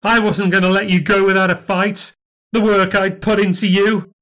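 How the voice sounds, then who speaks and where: A man voicing a bitter, scheming old grandmother who is shrill, indignant and cutting. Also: very animated, takes it slowly, gravelly, fairly bright tone, heavily acted. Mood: furious. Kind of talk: audiobook character voice.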